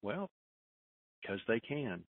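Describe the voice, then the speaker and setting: A man's voice: mid-range voice, sing-song, slow pace, creaky, no room sound. A man hosting a conference call and answering questions casually, in a blunt, matter-of-fact way. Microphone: phone conference call. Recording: narrow tinny phone line.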